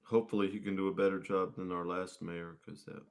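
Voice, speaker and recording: A man's voice: sits low, flat delivery, a little fast, darkish tone. A man chatting casually as a musician guest in an interview. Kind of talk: casual chat.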